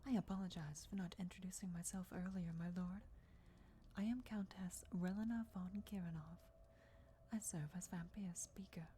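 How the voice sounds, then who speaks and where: A woman plays an ancient vampire countess in a fantasy ASMR roleplay, whispering with courtly, devoted formality. Her voice is rather low and very mellow, measured and reverent. Background noise and ambience sit behind it. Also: steady tone, lightly acted. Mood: reverent, courteous.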